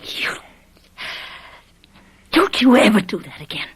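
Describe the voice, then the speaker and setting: An actress playing a young, resigned farm wife in a radio drama, wary and pleading, with a hesitant, placating manner. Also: female voice, medium pitch, sing-song, takes it slowly, husky, full character voice. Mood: indignant.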